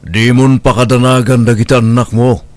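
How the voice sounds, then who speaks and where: A man's voice: medium pitch, flat delivery, moderate pace, dry recording, natural voice. A man playing a benevolent, concerned employer who questions and reassures, with an Ilocano accent, in an audio drama. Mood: generous. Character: benevolent adult male employer, concerned and generous.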